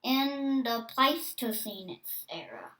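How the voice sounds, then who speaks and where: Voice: mid-range voice, expressive, slow pace, pushed and bright, distant mic, low-quality recording. A nine-year-old child hosting a read-aloud, with casual asides and some stumbles on hard words. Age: child, nine years old. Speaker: host reading a book aloud.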